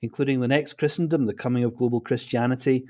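A man's voice: medium pitch, fairly even intonation, fast, darkish tone. A man hosting an interview podcast, introducing and questioning in conversation. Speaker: podcast host. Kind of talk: interview chat.

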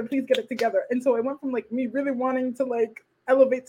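A woman whose first language is French, co-hosting a casual podcast chat in a playful, animated way, acting out quotes. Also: high voice, slightly rough, rough recording. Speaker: podcast co-host.

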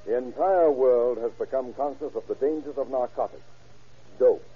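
A man's voice: medium pitch, moderate pace. A man narrating a radio drama from a script in a grave, formal, dramatic 1930s style. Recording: thin, phone-like 1930s radio recording, music.

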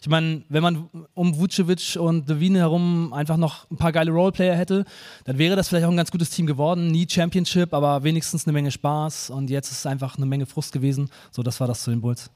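A man's voice: fairly high pitch, steady tone, a little fast, darkish tone. A man talking sports casually and in slangy, colloquial words as a guest on a live podcast. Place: live stage show.

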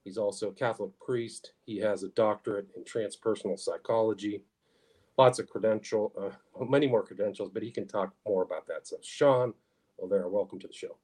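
A man's voice: on the low side, brisk, a little mellow, husky. A man hosting a podcast, introducing and questioning a guest in a casual interview style. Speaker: podcast host.

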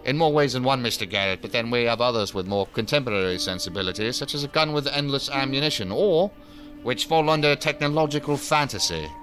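A man acting a jaded male professor in a sci-fi audio drama. He lectures in wordy, condescending sentences with dry sarcasm. His pitch sits in the middle range, with faint music behind him. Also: animated, fairly quick, a bit bright, lightly acted. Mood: dry, amused. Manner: pompous, wordy, sardonic.

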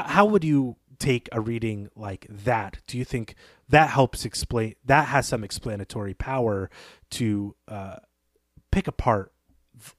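A man co-hosting a podcast, talking through a story in a thoughtful, analytical way with frequent pauses. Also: on the low side, no room sound, studio quality. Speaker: podcast co-host. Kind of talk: literary discussion podcast.

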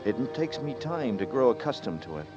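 An actor playing a weary, guarded fugitive in a 1940s noir radio drama, speaking in a halting, understated way. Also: male voice, medium pitch, sing-song, music in the background, close to an everyday voice. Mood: weary, reflective. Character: weary, guarded man on the run, feeling his way. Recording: muffled 1940s radio recording.

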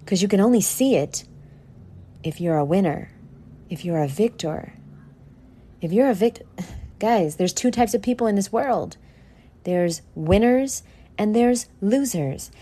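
A woman hosting an informal motivational podcast, brash and sweary, talking straight at the listener with dramatic, drawn-out emphasis. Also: medium pitch, dark tone. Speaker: podcast host. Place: at home in a condo.

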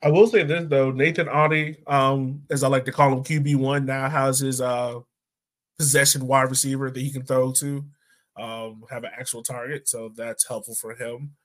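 A man's voice: mid-range voice, flat delivery, normal speed. A man hosting a casual sports podcast, talking in an opinionated, conversational way. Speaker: podcast host.

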